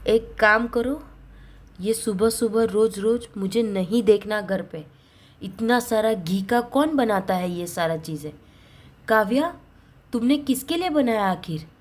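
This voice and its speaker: A woman's voice: mid-range voice, lively intonation, measured pace, close to an everyday voice. A woman voicing a stern older mother-in-law in a dramatised story, nagging with accusing, scornful questions. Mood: irritated.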